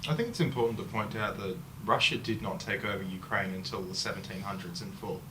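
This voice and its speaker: A man's voice: on the low side, quick pace, echoey room. A man in an interview, adding explanatory points for the record. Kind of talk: casual interview talk. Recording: distant mic with faint noise.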